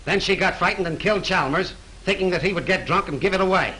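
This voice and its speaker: A man's voice: sits high, quick pace, pushed and bright, poor audio. An actor playing a tough male police detective who questions a suspect bluntly, in 1930s film style, with faint hiss from an old soundtrack. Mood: gruff. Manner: blunt, bullying interrogator.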